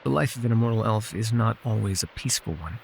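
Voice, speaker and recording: A low-voiced, gravelly elf healer, acted by a man, ancient and weary yet gentle. He murmurs in measured, old-fashioned phrases with a wry, teasing edge, as in an intimate fantasy ASMR roleplay, over faint background ambience. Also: a little hiss or hum. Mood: wry, chiding. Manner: measured, formal archaic phrasing, soothing.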